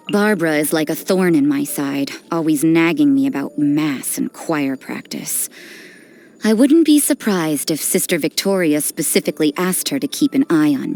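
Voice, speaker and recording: A low-voiced, worldly young nun from a video game. She is aloof and cynical, delivering each line with cool, dry detachment and no hurry. Music plays clearly behind her. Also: warm tone. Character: aloof, cynical, worldly nun who does dirty work. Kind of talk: video game character voice lines. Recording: background music under the voice.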